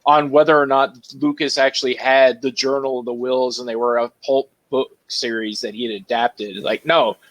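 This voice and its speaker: Casting: middle-aged male moderator, mid-pitched, conversational. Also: steady tone, normal speed, few pauses, lo-fi sound. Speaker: panel moderator. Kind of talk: casual chat. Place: remote online panel recorded at home.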